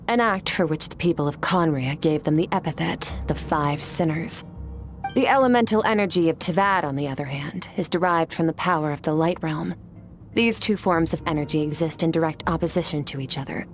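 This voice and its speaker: An actress playing a cool, aloof female swordmaster who trains her student in a calm, measured, matter-of-fact way in a game. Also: on the low side, natural voice. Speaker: combat trainer.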